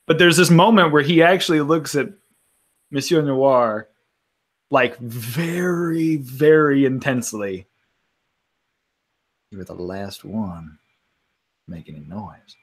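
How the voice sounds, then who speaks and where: A man playing a tabletop role-playing game, improvising dialogue and narrating his character's moves in a dramatic, husky voice. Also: mid-range voice, slow pace, long pauses. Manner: animated, dramatic delivery.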